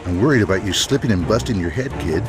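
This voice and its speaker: Stern protective vigilante boss, commanding, dry, expressive range, game dialogue over music. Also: male voice, low voice, fast, poor audio. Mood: dry, watchful. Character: stern, protective male leader of masked vigilante team. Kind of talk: scripted video game voice acting.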